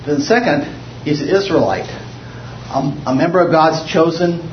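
A man's voice: on the high side, unhurried, a little hiss or hum. Man leading a Bible study, distant echo. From United States. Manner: rambling, with frequent restarts and fillers. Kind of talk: informal Bible study teaching. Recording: muffled, roomy, distant mic.

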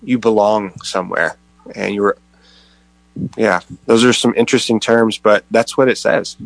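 A man in a group Bible discussion, speaking in a halting, reflective way and repeating words. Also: lowish voice, steady tone, vocal fry, dry recording, rough recording. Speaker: Bible study participant.

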